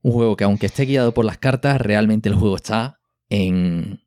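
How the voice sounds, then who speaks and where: A man from a podcast panel with a Spanish accent from Spain and a fairly low voice. He explains things casually and with enthusiasm, tacking little questions onto his sentences. The sound is dry and close. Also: normal speed, darkish tone, no echo. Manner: enthusiastic, explanatory, often ends with tag questions. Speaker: podcast co-host. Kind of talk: casual board game discussion.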